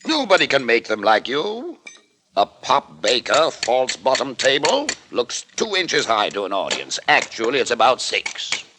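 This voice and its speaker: Slick magician, wildly swooping voice. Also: male voice, medium pitch, huge pitch swings, measured pace. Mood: cajoling. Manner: cajoling, glib. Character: brash, slick male stage magician who exposes tricks. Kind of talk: 1940s radio acting. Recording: noisy old radio recording.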